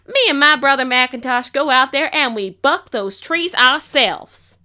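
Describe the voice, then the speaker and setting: A woman voicing a blunt orange farm pony mare who speaks in a folksy country drawl, ranting loud and fed up. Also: a little high, very animated, a little fast, smooth. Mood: defiant.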